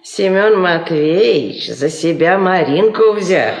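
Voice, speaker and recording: A woman's voice: lowish voice, expressive, takes it slowly, a little mellow. Sly old peasant woman, swooping intonation. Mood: chatty. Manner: sing-song folksy wheedling. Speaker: radio drama actress. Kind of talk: Soviet 1950s radio play acting. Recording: roomy 1950s radio recording.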